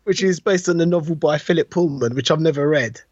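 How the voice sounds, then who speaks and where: A man in middle age speaks a bit higher than most, in the loose, slangy style of a podcast co-host. He tells things with animation and chats casually. The sound is very dry and slightly dull. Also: a little fast, darkish tone, no echo. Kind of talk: casual chat about films.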